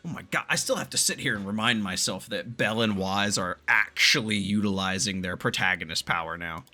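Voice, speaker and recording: A man giving casual, animated gameplay commentary as a streamer, swearing freely as he reacts. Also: on the low side, fairly quick. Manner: animated, profane, reads game dialogue aloud.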